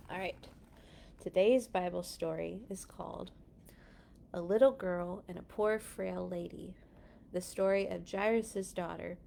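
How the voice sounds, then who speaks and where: A woman's voice: medium pitch, gravelly, dark tone, slight background noise. A woman reading picture books aloud to children, telling the stories expressively and talking to the young listeners between passages. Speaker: children's story reader. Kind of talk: children's read-along storytelling.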